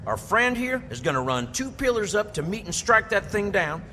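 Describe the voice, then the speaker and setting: A man's voice: sits high, very animated, normal speed, bright, forceful tone, a little hiss or hum, full character voice. A man playing a cocky, wisecracking young gunslinger with brash slang in an audio drama. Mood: focused. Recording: long reverb, music behind.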